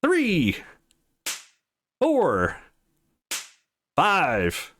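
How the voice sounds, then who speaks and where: A man's voice: high-pitched, huge pitch swings, extremely slow, a bit bright, slight background noise, voice pushed up, full character voice. A man voicing a coy, playful young woman acting the obedient student in a visual novel. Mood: yelping. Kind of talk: visual novel dialogue read-aloud.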